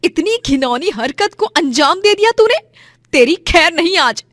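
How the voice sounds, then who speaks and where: A woman's voice: very high-pitched, expressive, a little slow, fairly bright tone, no room sound, studio quality, pitched above the performer's own voice, heavily acted. A woman voicing a pious, brave and righteous village food-stall owner, fervent and emphatic, in a cartoon moral story. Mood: furious.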